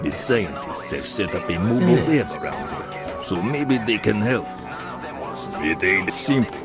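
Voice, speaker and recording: An actor playing a shrewd, businesslike male trader who gives deliberate briefings in a video game. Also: on the low side, very animated. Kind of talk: scripted video game dialogue. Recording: loud music behind the voice.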